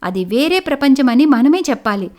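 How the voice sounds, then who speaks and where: Lady as annoyed young daughter in law griping earnestly, high voice, cartoon family story. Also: animated, moderate pace, darkish tone. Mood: indignant. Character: indignant elder daughter-in-law, young, complaining yet polite. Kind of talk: cartoon moral story acting.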